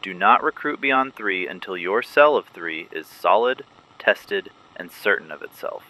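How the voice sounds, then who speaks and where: A man playing a guarded, methodical resistance broadcaster in a scripted dystopian radio drama, giving clipped, deliberate instructions. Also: low-pitched, expressive, pushed and bright, full character voice, poor audio. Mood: firm, instructive.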